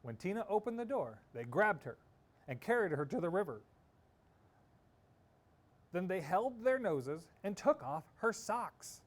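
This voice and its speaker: A man reading a children's story aloud expressively, with theatrical delivery and dramatic pauses. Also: high-pitched, big pitch swings. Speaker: storybook reader.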